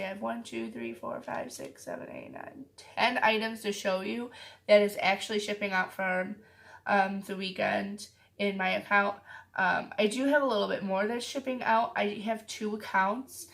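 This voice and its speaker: A woman who is getting over a cold, with a raspy voice, chatting casually to her viewers about her weekly sales and filling her talk with frequent ums. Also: medium pitch, fairly bright tone. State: sick, with a cough. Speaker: reseller vlogger. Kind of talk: casual chat to camera.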